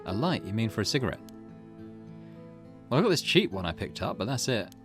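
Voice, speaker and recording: A man as a low-voiced, wary young protagonist. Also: very animated, brisk, soft background music. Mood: guarded.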